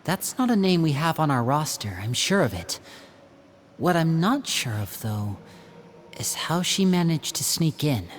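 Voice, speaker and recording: A man voicing a smooth, probing young magician in a video game. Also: fairly high pitch, big pitch swings, a little slow. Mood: cool. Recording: game audio with music.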